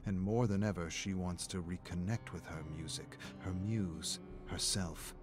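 A man playing a brooding narrator of dark game lore, reading with measured, deliberate drama. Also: sits low. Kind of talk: dramatic scripted story narration.

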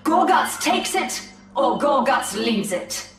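An actress playing a proud, calm alien elf seer in a video game, with a solemn, deliberate, formal delivery. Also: female voice, high-pitched, full character voice. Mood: solemn, persuasive. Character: proud, calm female Eldar seer striking a bargain. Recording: echoey, distant, faint music behind.

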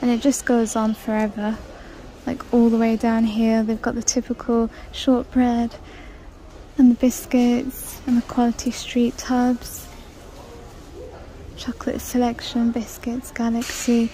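A woman's voice: fairly high pitch, completely flat delivery, unhurried, mellow, a little hiss or hum, low-quality recording. A woman vlogging a shop walk-through, chatting casually about items and reading out prices in a large store. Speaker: shopping vlogger. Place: large indoor discount store. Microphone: handheld phone camera.

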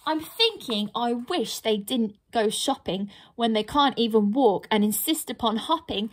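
A woman voicing a small, frightened female pixie who blurts out the truth in a high, squeaky voice. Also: lively intonation, unhurried. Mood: anxious.

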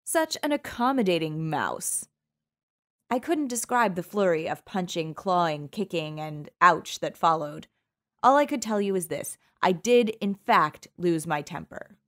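A woman's voice: fairly low pitch, lively intonation, unhurried, a bit bright. A woman narrating a first-person audiobook in a wry, sultry storytelling manner.

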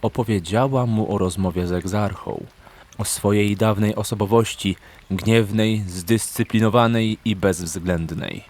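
A man's voice: lowish voice, unhurried, a little mellow. A man narrating a scripted fantasy story as an audiobook narrator. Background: background music.

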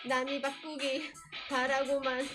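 A woman with a very high voice sings live on her stream, unhurried, with a loud backing track behind her. She moves between ballads and rap and drops in short spoken asides. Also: flat delivery. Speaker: singer and streamer. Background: loud backing music.

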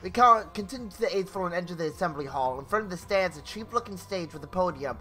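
A man's voice: high-pitched, expressive, fast talker, pushed and bright, breathy edge. A young man hosting a gaming video, reading story narration theatrically with casual asides and the odd stumble over words. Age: young adult. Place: small home bedroom. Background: game music.

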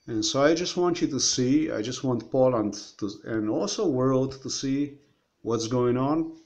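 A man in his thirties talks to his webcam from an indoor room. He rambles and circles back on himself, pointing viewers at things on his screen. His voice sits at an ordinary pitch for a man. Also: measured pace, a little mellow. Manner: meandering, repeats himself, addresses viewers directly. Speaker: vlogger. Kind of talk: rambling screen-share commentary.

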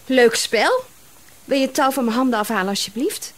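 A woman's voice: high-pitched, lively intonation, moderate pace. An actress playing an exasperated female detective of about thirty in a radio drama, indignant and pleading. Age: 30s.

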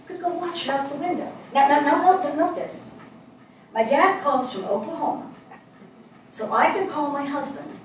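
A woman's voice: on the high side, close to an everyday voice. An older woman on stage acting a reflective, wry middle-aged journalist from New York in a dramatic monologue. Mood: wry, sober. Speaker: stage actress in a dramatic reading. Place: indoor small theatre stage. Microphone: far camera. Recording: echoey theatre, distant mic, faint noise.

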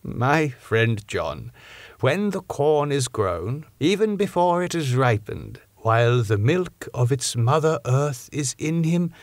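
A man voicing a grave, kindly old doctor in an audiobook, speaking slowly in quaint broken grammar. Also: medium pitch, sing-song, no echo, pitched above the performer's own voice. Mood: grave, counselling. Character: old Dutch doctor, grave, kindly, teacherly, parable-loving.

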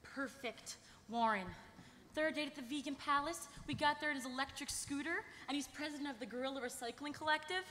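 A woman's voice: high-pitched, a little fast, pushed and bright. A young woman playing an earnest, fretful young artist, gushing and melodramatic, at a live table read. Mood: upset. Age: young adult. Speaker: actress. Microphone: table microphone. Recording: echoey convention hall, faint noise.

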